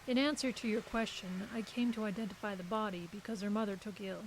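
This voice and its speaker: A woman's voice: medium pitch, steady tone, fast talker, close to an everyday voice, rough recording. A woman playing a guarded, brusque literary agent in an audio drama, with a dry, curt manner. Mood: dry, reflective. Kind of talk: naturalistic audio drama acting. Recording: clearly hissy recording.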